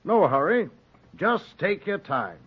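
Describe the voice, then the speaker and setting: Deferential fishmonger: very slow, singsong lilt, muffled old radio sound. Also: male voice, high voice, sing-song, husky, heavily acted. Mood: deferential, easygoing. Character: polite, unhurried neighborhood fish shop man. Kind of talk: 1940s radio acting. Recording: muffled 1940s radio recording, faint music.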